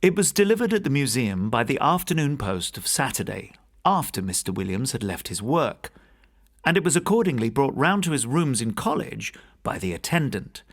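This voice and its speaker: A man narrating a classic gothic ghost story in a measured, formal, theatrical storyteller's manner. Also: mid-range voice, very animated. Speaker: audiobook narrator and podcast host.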